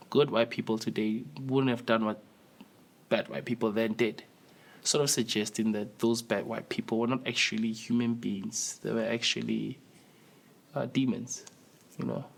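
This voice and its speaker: A man argues a critical point in a documentary interview. His voice is gravelly. He speaks in an even, flat tone. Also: medium pitch, moderate pace. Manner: critical, argumentative.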